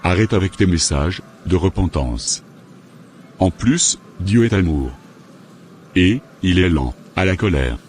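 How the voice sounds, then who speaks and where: Man doing a churchgoing husband gone astray, cartoon, low voice, stiff and formal, bit of background noise. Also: animated, unhurried. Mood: defensive. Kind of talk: scripted cartoon dialogue.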